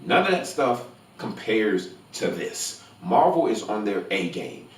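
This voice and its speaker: Deep-voiced man vlogging in an echoey room. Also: sing-song, measured pace. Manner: gushing, emphatic, repeats words for emphasis. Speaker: movie review vlogger. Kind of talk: casual spoiler-free film review to camera. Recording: roomy echo, distant from microphone.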